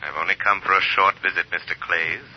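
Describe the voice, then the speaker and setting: A man playing a mysterious unseen crime-fighter, smoothly taunting and menacing, in old radio drama style. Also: sits low, fairly even intonation, brisk. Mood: mocking menace. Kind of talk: 1930s radio drama acting. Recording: muffled old radio recording.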